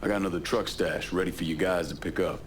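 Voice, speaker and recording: An actor playing a tough young man with a relaxed, plain-spoken, streetwise manner in a video game cutscene. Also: low voice, fast, slight background noise, close to an everyday voice. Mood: businesslike. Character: laid-back, tough young male outsider, loyal friend.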